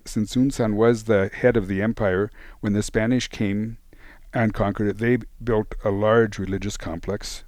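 Radio guest, man, lower pitch, informative explainer, dry sound. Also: steady tone, moderate pace, darkish tone, no echo. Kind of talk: radio interview.

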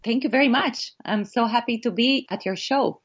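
A woman with an Israeli accent, a documentary filmmaker answering interview questions in earnest, animated storytelling. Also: a little high, moderate pace, breathy edge, lo-fi sound.

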